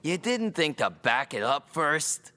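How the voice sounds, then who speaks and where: A man's voice: fairly high pitch, very animated, measured pace, pushed and bright, full character voice. A man playing a sarcastic, exasperated adventurer sidekick with a wry delivery in a fantasy audio drama. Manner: wry, sardonic.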